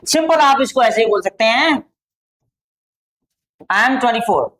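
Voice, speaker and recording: Indian woman, English tutor; drawn-out dictation, very slow, fairly high voice. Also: animated, a bit bright, slight background noise, poor audio. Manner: slow, drawn-out dictation of model answers, repeating each phrase. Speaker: English teacher. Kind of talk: spoken English lesson for Hindi-speaking students.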